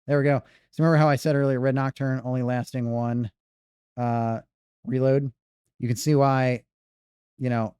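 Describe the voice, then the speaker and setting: A man's voice: mid-range voice, warm tone, clean tone, no echo. A man giving casual gameplay commentary as a speedrun streamer, rambling and correcting himself. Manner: rambling, self-correcting banter.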